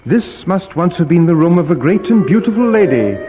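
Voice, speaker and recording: An actor playing an earnest young London solicitor, formal and hesitant, in a 1940s radio drama. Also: male voice, sits high, big pitch swings, brisk, music in the background. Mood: uneasy musing. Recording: dull vintage 1940s radio broadcast.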